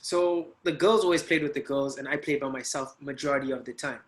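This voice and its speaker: A man hosting a casual podcast, upbeat and relaxed as he leads the talk with easy questions. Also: medium pitch, fairly quick. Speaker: podcast host.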